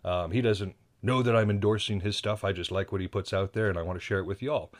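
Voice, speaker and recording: A man's voice: fairly low pitch, steady tone, fast. An American man hosting a solo podcast, talking casually and rambling with self-deprecating asides and frequent restarts. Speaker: podcast host. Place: indoors at home.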